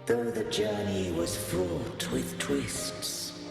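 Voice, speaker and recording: A man voicing an ancient, godlike being in a fantasy game, solemn and weary, with archaic, stately and measured speech. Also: low-pitched, gravelly, exaggerated voice. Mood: grave, reflective. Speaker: game character voice. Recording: cavernous echoing reverb with music behind.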